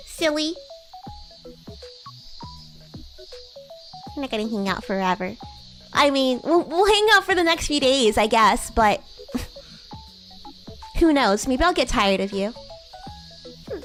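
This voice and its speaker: A woman puts on a young female demon classmate with a high, girlish voice. She is bratty, playful and teasing, rambling in a loose livestream roleplay style. Faint music plays behind her. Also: sing-song, slow pace, long pauses, a bit bright, full character voice. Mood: flustered teasing. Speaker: VTuber streamer. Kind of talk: improvised in-character roleplay livestream chat.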